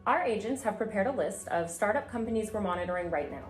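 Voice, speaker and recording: A woman acting an agent in a comedy sketch, crisp and businesslike. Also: mid-range voice, animated, fast talker. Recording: echoey room with music.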